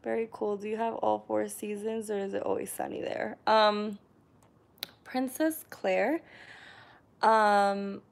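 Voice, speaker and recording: A young woman from New York chats unhurriedly to her viewers from her living room, filling pauses with ums. She is recorded by a camera on a tripod, and her pitch sits in a middle range. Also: fairly even intonation, vocal fry. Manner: chatty, hesitant, frequent ums and laughs. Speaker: vlogger reading live chat comments. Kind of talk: casual live stream chat.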